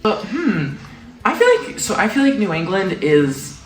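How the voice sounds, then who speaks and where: Rambling young American student, mid-pitched. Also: very animated, unhurried. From New Hampshire. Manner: rambling, hedging with many fillers. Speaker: exchange student. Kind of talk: casual chat. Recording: echoey room, distant mic, background music.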